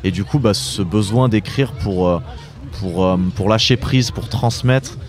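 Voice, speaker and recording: A man in his late twenties from southeastern France answering interview questions conversationally, often pausing and repeating himself as he searches for words. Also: lowish voice, faint music behind. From Drôme, southeastern France. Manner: hesitant, searching for words, repeating phrase starts. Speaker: interview guest, author and entrepreneur.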